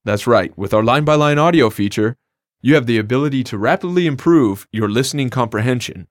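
A man's voice: mid-range voice, animated, a little fast, no echo. A man co-hosting a scripted language lesson, explaining phrases in an upbeat, plain way. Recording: dry studio recording.

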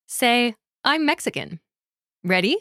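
A woman narrating a scripted language lesson in a clear, measured, instructional way, recorded close to a studio mic. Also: on the high side, very animated, fairly bright tone, no room sound. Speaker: course narrator.

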